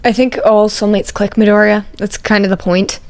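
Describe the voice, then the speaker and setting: A woman voicing a laid-back, wry young man in an audiobook with a careful, deadpan drawl. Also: mid-range voice, moderate pace, dry recording, natural voice. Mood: dry. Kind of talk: fan fiction audiobook character voice.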